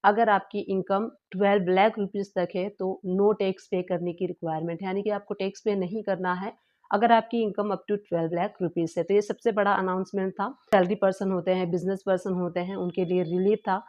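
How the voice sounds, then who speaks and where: Woman host, patient explainer, halting with fillers, mid pitch, slightly muffled home recording. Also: steady tone, a little mellow. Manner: explanatory, repetitive, hesitant with frequent fillers. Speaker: channel host explaining tax news. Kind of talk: informal explanatory lesson.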